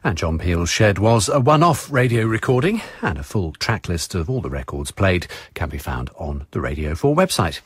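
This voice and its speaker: Low, husky male radio announcer. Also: big pitch swings, normal speed, hardly any pauses. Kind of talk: broadcast continuity announcement.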